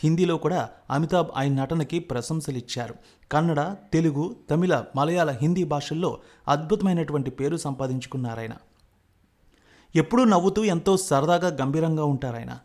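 A male news presenter with a somewhat high-pitched voice. He narrates from a script in a formal register, putting firm emphasis on key phrases. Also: moderate pace, a little mellow.

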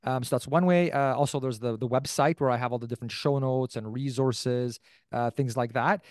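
Man, Canadian accent, very quick pace, rambling casual interview talk, close dry desk-mic sound. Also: mid-range voice, steady tone, a little mellow, no echo. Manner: rambling, peppered with "like" and "you know". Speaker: podcast host as interview guest. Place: small indoor room, video call. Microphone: desk microphone close in front.

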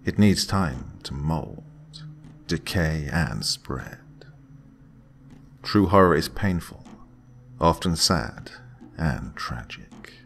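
A man with a deep, gravelly voice reading a horror story aloud in a slow, dramatic way, dropping to hushed whispers at tense moments. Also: animated, slight background noise. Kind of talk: scripted horror narration.

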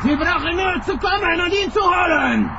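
High-pitched taunting villain shouting from afar. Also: male voice, expressive, normal speed, creaky, full character voice. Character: taunting male kidnapper calling down from a volcano rim. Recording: dull, very noisy old cassette recording.

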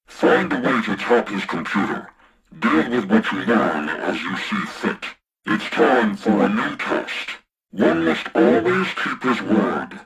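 An actor playing a menacing, taunting spy-ring boss in a video game, with a husky, gravelly voice. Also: male voice, on the low side, fairly bright tone, exaggerated voice, rough recording. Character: sinister male spy-ring boss giving threatening orders. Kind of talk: scripted video game voice acting.

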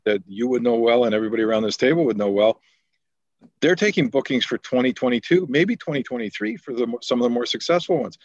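A man's voice: medium pitch, no echo. A Canadian man, a deputy mayor, speaking at length in a council meeting on a video call, earnest and rambling with many repeated words. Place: home office on a video call. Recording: slightly dull, dry video call audio.

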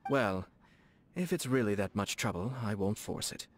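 An actor playing a courteous, composed young nobleman in a video game, speaking formally and softly with a gently teasing manner. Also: on the low side. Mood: amused, calm. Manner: formal, measured, politely playful. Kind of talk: video game character dialogue. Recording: studio voice over game music. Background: game background music.

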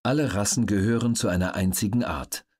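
A man reading scripted documentary audiobook narration in a measured, factual manner. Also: lowish voice, darkish tone, husky.

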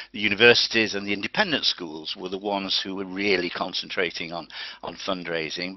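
A man's voice: low-pitched, no echo. Older Welsh man giving a webinar talk. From Wales. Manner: chatty, anecdotal, with frequent restarts. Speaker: guest speaker. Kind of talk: webinar presentation. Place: home study, indoors. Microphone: webcam on computer. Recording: dull lo-fi webinar audio.

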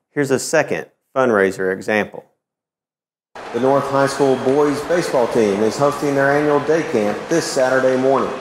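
A man in his forties teaching public address announcing, reading sample announcements into a handheld microphone in a measured, clear delivery. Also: medium pitch, echoey room, in a large hall, noisy background. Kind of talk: instructional talk with sample PA announcements. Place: empty school gymnasium and green-screen crowd set.

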